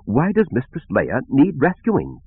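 A man's voice: mid-range voice, wildly swooping pitch, unhurried, slightly rough, dry recording, voice pushed up, full character voice. A man voicing a prim, fussy, polite and anxious male robot servant with formal speech, in an audiobook. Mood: flustered. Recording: narrow phone-like band.

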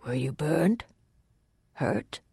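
Storybook lord played by a narrator, older male. Soft, calm, kindly, no urgency, very dry close sound. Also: medium pitch, gravelly, slightly rough, dry recording, full character voice. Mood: quiet concern. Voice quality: soft, hushed. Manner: peaceable, unhurried, gently questioning.

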